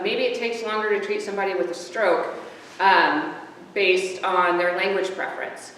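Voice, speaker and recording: A woman presents at a panel in a large, very echoey hall. She is heard from a distance, with a long reverb tail behind her, and she talks through her slides in a plain, conversational way, often pausing on a filler. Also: medium pitch, fairly bright tone, a little hiss or hum. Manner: conversational, filler-heavy explaining. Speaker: hospital panelist.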